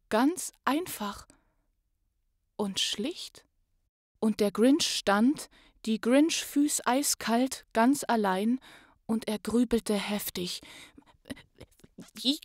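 A woman performing as a suspenseful storybook narrator, reading rhymed verse theatrically, moving between hushed and loud delivery. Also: a little high, no room sound, clean studio sound, exaggerated voice. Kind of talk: dramatic read-aloud of a rhymed children's story.

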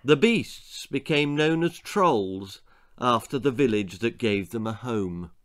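A man's voice: medium pitch, animated, takes it slowly. A man in his sixties reading a children's horror-comedy story aloud as its author, theatrical and relishing the grisly detail. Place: small indoor book-lined room. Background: music.

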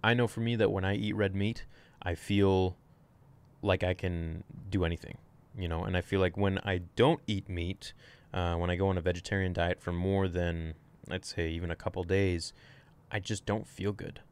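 Young male fitness streamer, low voice, frank off-the-cuff Q&A, close desk mic in a small room. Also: steady tone, moderate pace, smooth. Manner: rambling, frank, swears casually. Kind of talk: casual livestream Q&A.

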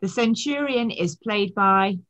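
A woman's voice: medium pitch, measured pace, a bit bright. A middle-aged woman, a church minister, giving a formal welcome and introductions over a webcam from her home study.

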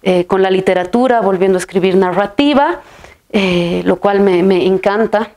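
A woman's voice: medium pitch, normal speed, darkish tone. A woman in her thirties from Bolivia, a filmmaker and writer, answers interview questions thoughtfully, often restarting her phrases and ending them with a questioning tag. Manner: thoughtful, many restarts and fillers, ends phrases with "¿no?". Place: bright room with a plain wall, seated in an armchair.

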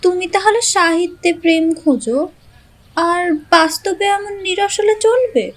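A woman's voice: very high voice, a little slow. A woman playing a playful young sister-in-law in an audio story, teasing and coaxing. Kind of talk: dramatized Bengali audio story.

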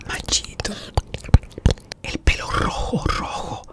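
A woman whispering in an ASMR roleplay as a beautician, gossiping while she treats a client. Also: takes it slowly. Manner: gossipy whispering, talking to an unseen caller and a client.

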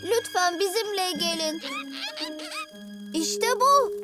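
A woman's voice: very high voice, animated, takes it slowly, heavily acted. A woman voicing an earnest six-year-old boy superhero in a dubbed children's cartoon. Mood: gentle, encouraging. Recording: music behind the voice.

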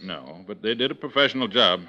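A man's voice: lowish voice, lively intonation, brisk, bright, forceful tone, a little hiss or hum. An actor playing a tired, plainspoken male police inspector in an old radio drama. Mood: dogged. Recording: dull vintage radio recording.

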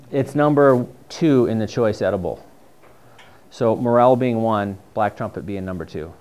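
A man's voice: medium pitch, darkish tone. A middle-aged man with a New England accent, teaching a class on foraging in a dim classroom, chatty and enthusiastic. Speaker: mushroom foraging instructor. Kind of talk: casual classroom talk with slides. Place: dim classroom with projector screen. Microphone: far camera.

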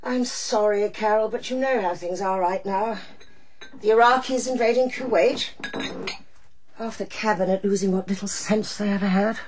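An actress playing a sharp-tongued older female Prime Minister in a radio drama, with a clipped, commanding English accent and a condescending manner. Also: mid-range voice, normal speed, slight background noise, lightly acted, rough recording. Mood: brisk, defensive.

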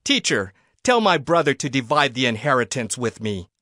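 Aggrieved, bright-voiced man in the crowd. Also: medium pitch, very animated, moderate pace, no echo, clean studio sound. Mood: insistent. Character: aggrieved man in the crowd demanding his share.

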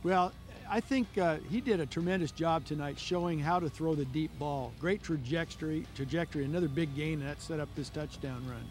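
A man's voice: fairly high pitch, fairly quick, soft background music. An older man acting as coach analyst on a post-game football show outdoors at night, speaking measuredly into a handheld mic with frequent fillers.

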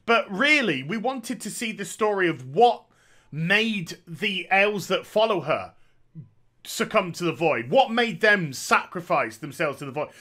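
Man, thirties, bright tense voice, a bit high, sarcastic game commentary, headset mic. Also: animated, a little slow. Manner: sarcastic, opinionated, jokey asides. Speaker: gaming commentator. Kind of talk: casual opinion commentary. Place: small room at home.

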